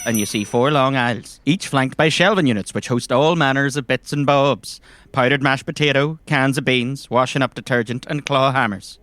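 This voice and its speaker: A man's voice: mid-range voice, a bit bright. A man with an Irish accent narrating a tabletop game as game master in a descriptive, conversational way.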